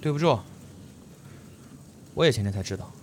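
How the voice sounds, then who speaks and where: A man's voice: mid-range voice, lively intonation, normal speed, heavy background noise, lightly acted. A male actor playing a curt, sarcastic teenage schoolboy in an audio drama, tossing out terse insults. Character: curt, scornful, cool teenage schoolboy twin. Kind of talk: Chinese audio drama acting.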